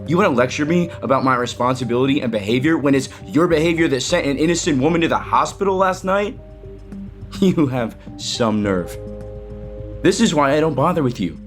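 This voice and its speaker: A man's voice: medium pitch, animated, normal speed, soft background music, natural voice. A man acting in an audio soap opera as a defensive, sarcastic younger brother who runs the family company and drinks too much. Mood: angry, accusing. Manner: irritable, sarcastic.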